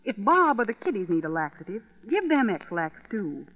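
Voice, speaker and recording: An actress playing a cheerful, reassuring housewife chatting with a friend, in the style of a vintage radio commercial. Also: female voice, mid-range voice, big pitch swings, moderate pace. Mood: chatty, reassuring. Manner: bubbly, emphatic, reassuring. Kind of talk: 1940s radio commercial acting. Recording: dull vintage radio recording.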